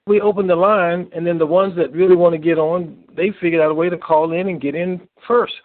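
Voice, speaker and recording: A man co-hosting a casual call, reading out listener questions and speaking haltingly with pauses between phrases. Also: high voice, fairly even intonation, no echo. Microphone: telephone. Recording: narrow, lo-fi phone line.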